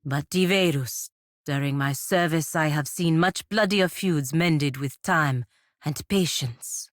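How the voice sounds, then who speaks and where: An actress voicing a proud, stern warrior knight in a video game, speaking in a formal, measured, admonishing way. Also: female voice, sits low, no echo, clean studio sound. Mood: solemn counsel. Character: proud, stern warrior knight sworn to her republic, woman. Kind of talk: video game character dialogue.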